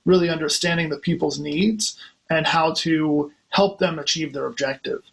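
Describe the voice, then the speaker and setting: A man's voice: on the high side, moderate pace, gravelly, a bit bright. A man hosting an interview, asking questions in a polite, encouraging way. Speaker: host. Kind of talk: interview conversation.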